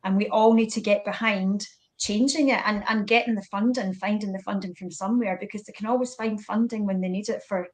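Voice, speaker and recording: A woman's voice: medium pitch, fairly even intonation, fairly quick. Emphatic, earnest woman on a panel. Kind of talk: panel discussion.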